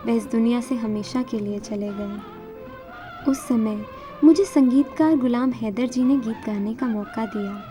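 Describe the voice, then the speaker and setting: A woman's voice: a little high, dark tone. A woman whose native language is Marathi, narrating her own life story from a script as a singer.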